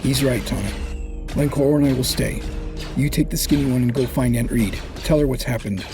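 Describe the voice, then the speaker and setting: An actor playing a bold, loyal, battle-loving warrior with a rough, husky voice in a sci-fi audio drama. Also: male voice, mid-range voice, brisk, over music, lightly acted. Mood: firm.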